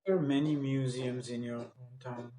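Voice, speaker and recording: A man's voice: medium pitch, steady tone, normal speed, dark tone. A man working as an English speaking tutor, asking practice questions and coaching in an informal, instructive way. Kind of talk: conversational coaching and mock interview questions.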